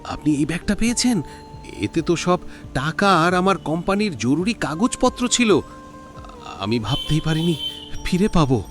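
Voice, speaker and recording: A man voices a grateful, wealthy businessman in a cartoon. His highish voice swings dramatically up and down, effusive and emphatic, with music behind it. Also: big pitch swings, normal speed, pauses often. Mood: relieved.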